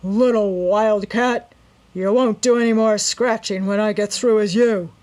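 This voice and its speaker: A brutal robber gang leader growls and sneers his threats in a storybook reading, put on by a woman. The voice is raised and louder than the narration, with a harsh, menacing edge. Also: mid-range voice, fairly even intonation, unhurried, voice pushed up, close to an everyday voice. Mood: snarling.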